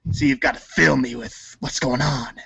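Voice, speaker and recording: A man's voice: medium pitch, sing-song, normal speed, vocal fry, pushed and bright, husky, dry recording, lo-fi sound. A man acting in a comic reader's theater show, goofing around and stumbling through his lines with ad-libs. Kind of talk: comic table read with ad-libs.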